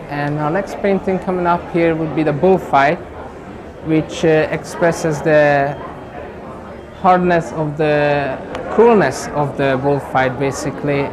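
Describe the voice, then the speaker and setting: Hesitant Hungarian-accented man, busy crowd. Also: fairly high pitch. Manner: hesitant, many fillers, searching for words. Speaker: painter. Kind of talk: interview about his paintings. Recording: loud crowd at an event.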